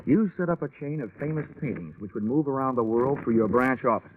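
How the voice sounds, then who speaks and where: An actor playing a tough, wisecracking American insurance investigator with a wry, deadpan, hard-boiled delivery in 1940s radio style. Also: male voice, mid-range voice, a little fast, a little hiss or hum, close to an everyday voice. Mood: hard-boiled, confident. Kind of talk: 1940s radio detective acting and narration. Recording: tinny band-limited 1940s radio recording.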